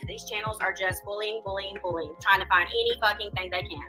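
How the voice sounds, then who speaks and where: A woman's voice: mid-range voice, steady tone, fast, bright, forceful tone, soft background music. A woman in a played clip, ranting with profanity and taunting someone. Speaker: online creator in a played clip. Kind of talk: confrontational rant.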